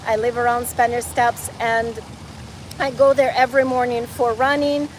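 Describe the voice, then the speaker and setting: A woman's voice: fairly high pitch, little pitch movement, normal speed, a little hiss or hum, rough recording. A woman in her forties, born in Ukraine and raised in North Carolina, chatting enthusiastically to viewers on a live walking travel stream, often repeating words as she goes. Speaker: travel vlogger. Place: outdoors in a city park and square.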